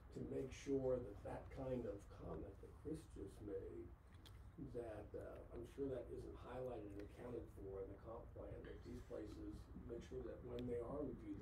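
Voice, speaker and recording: An older man hosting a panel discussion, giving measured advice on a desk microphone in a small meeting room. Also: fairly low pitch, gravelly. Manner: measured, advising. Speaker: host. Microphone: desk boom mic. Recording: roomy, distant, faint noise.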